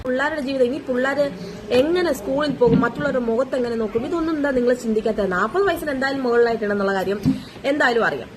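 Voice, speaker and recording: A woman talks as a commentary vlogger. She is exasperated and moralizing, piling up rhetorical questions. The amateur recording carries clear noise. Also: sits high, very fast. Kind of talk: casual opinion commentary on gossip.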